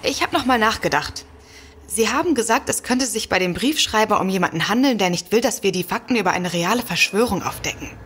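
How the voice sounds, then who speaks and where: An actress playing a flirtatious, coy hostess in an audio drama, with a seductive manner. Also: female voice, medium pitch, lively intonation, brisk, a bit bright, slightly rough. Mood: coaxing. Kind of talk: German audio drama acting.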